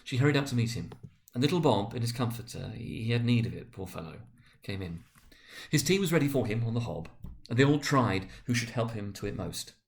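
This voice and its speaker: A man narrating a literary story dramatically, with expressive, sometimes halting delivery. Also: medium pitch, gravelly.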